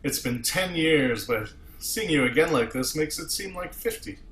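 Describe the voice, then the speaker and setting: A man's voice: on the high side, lively intonation, moderate pace, fairly bright tone. A man playing a formal, proud, sardonic starship captain in an amateur sci-fi script reading. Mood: wry. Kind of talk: amateur table read of TV script. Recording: echoey room with faint noise.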